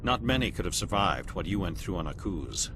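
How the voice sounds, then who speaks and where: An actor playing a composed alien elite operative in a video game, speaking in a measured, formal, probing way. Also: male voice, low voice, very animated. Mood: grave, formal. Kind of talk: video game cutscene acting. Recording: clear engine hum and ambient noise.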